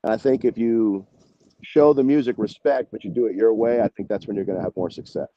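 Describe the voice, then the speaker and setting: A man with a fairly low, very mellow voice chats casually in an interview. He rambles through anecdotes with slangy, salty informality. The recording is dull and lo-fi. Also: fairly quick, a little hiss or hum. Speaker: rock singer and songwriter, interview guest.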